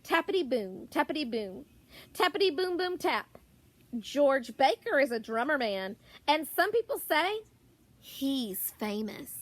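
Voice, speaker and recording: A woman with a high-pitched voice reads a picture book for young children. She goes very slowly, lingering on words and leaving deliberate pauses, and her intonation swings widely in an expressive teacher's storytelling style. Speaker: primary school teacher.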